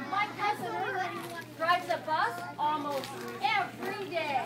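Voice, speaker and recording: A woman's voice: extremely high pitch, exaggerated voice. A woman voicing a stubborn, wheedling pigeon in a children's puppet show, pleading dramatically. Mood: eager, wheedling. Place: library children's room, audience of children. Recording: echoey noisy room from far off.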